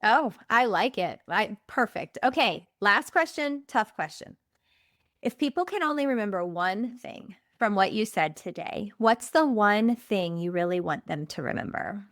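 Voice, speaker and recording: A woman hosting a podcast, asking questions in a brisk, encouraging way. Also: mid-range voice, expressive, fairly bright tone, no room sound, clean studio sound. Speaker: podcast host. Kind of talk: interview questions.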